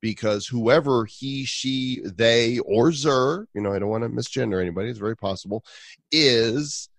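A man's voice: mid-range voice, creaky, no echo, studio quality. A man co-hosting a crypto podcast, from the Chicago area, with a slightly nasal voice, joking around and reading an ad. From Illinois, Chicago area. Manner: playful, jokey, quick asides. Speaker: podcast co-host. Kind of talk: casual podcast chat and read ad.

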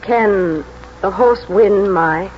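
Slow, laconic mother. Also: medium pitch, a little hiss or hum. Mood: probing, stolid. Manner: terse, measured. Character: calm, laconic, shrewd English mother, quietly supportive. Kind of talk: 1940s radio drama acting. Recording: muffled 1949 radio broadcast, music behind.

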